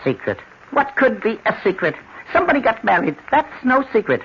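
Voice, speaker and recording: An excitable little gossip of a man chatters in a high, swooping voice. He stammers and says everything twice, and it all comes through a muffled old recording. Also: fairly quick, slight background noise, full character voice. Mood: burning curiosity. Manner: chattering, stammering, repeats himself. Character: tiny, excitable, nosy male neighborhood gossip. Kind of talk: old-time radio drama acting.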